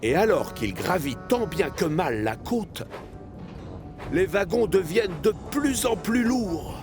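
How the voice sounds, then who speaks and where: A man narrating a children's cartoon in French as an expressive, scripted storyteller. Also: high voice, takes it slowly, over music.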